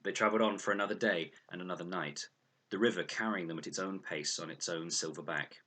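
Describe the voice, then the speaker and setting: A man narrates a storybook adventure expressively. His voice sits low, with a bright, forceful tone and a husky grain. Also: fairly even intonation, fast. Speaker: audiobook reader.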